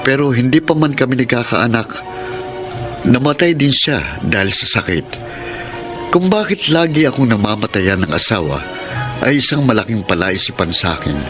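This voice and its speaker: A man's voice: mid-range voice, lively intonation, moderate pace, close to an everyday voice. A male actor with a Filipino accent playing a caring, grief-weary widower in his thirties in a radio drama, earnest and heavy-hearted. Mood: somber narrating. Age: 30s. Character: sorrowful 37-year-old widower, caring, weary of mourning. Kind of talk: radio drama acting and narration. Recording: dramatic music under the voice.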